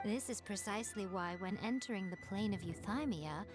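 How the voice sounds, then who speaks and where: An actress voicing a sly, poised shrine priestess with a teasing, arch manner in a video game cutscene. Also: female voice, mid-range voice, brisk, music in the background, close to an everyday voice. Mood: explaining.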